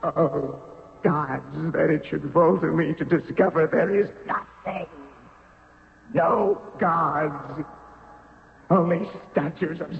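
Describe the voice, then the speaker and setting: Casting: proud, anguished young pharaoh, slow declamation, fairly high voice, vast echoing hall, old radio drama. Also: male voice, fairly even intonation, gravelly. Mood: despairing. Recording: cavernous reverb, dull noisy vintage radio recording.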